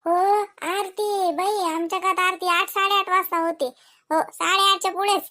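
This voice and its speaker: A woman's voice: very high voice, fairly even intonation, normal speed, pushed and bright, no echo. A woman voicing an anxious, devout young village wife in a comedy cartoon, homely in manner, with a Varhadi Marathi accent. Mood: chatty. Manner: pleading, homely, chatty.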